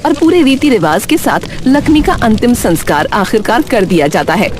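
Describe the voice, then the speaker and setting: A woman's voice: fairly high pitch, sing-song, normal speed, noisy background. A woman narrating a scripted dramatic story as its storyteller.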